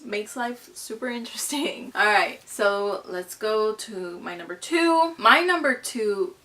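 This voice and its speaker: A woman's voice: mid-range voice, lively intonation, a little slow, pushed and bright. A woman in her twenties from Mexico, chatting casually and gushing in a vlog, full of "like" and "you know". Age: 20s. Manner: bubbly and gushing, lots of fillers like "you know" and "like". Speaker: vlogger.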